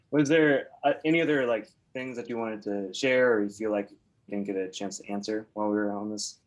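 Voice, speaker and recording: A man with a somewhat low voice hosts a casual interview, asking questions in a relaxed, chatty way. He grew up in Oregon and works as a real estate agent. His talk is loose, with frequent fillers and restarts. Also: fairly quick, low-quality recording. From Oregon, USA.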